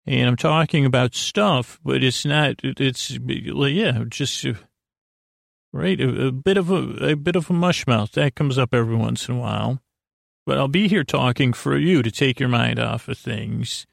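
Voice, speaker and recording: A man with a creaky voice delivers a rambling bedtime monologue as a podcast host. He keeps interrupting himself and wandering off, goofy and self-deprecating. The sound is dry and close, with no room around it. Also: mid-range voice, no room sound. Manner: meandering, halting, self-interrupting.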